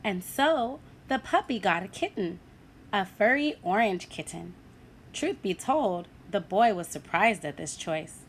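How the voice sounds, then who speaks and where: Animated woman teacher reading a picture book. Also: medium pitch, measured pace, slight background noise. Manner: animated storytelling, asks listeners questions. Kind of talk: children's picture-book read-aloud.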